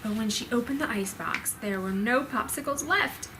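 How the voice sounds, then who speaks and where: Slightly high-voiced woman reading a storybook. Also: normal speed, pushed and bright, a little hiss or hum. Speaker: storyteller reading to her child. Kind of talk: expressive children's storybook reading.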